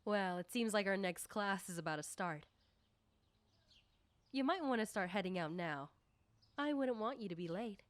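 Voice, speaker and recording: A woman playing a confident, nosy popular high school girl in an ASMR roleplay, talking coyly and teasingly to the listener. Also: animated, normal speed, long pauses, no room sound, lightly acted. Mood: reassuring, teasing.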